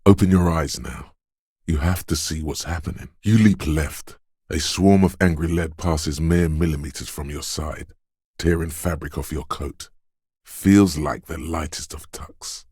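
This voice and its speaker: An extremely deep voice plays a detective's quick-witted instinct in a narrative video game. It is deliberate and watchful, addressing the listener with dramatic weight. The sound is very dry, with no room around it. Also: male voice, a little mellow, slightly rough, no echo, exaggerated voice. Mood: tense, dramatic. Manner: deliberate, alert, dramatic second-person narration. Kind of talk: scripted game narration, voice of an inner thought.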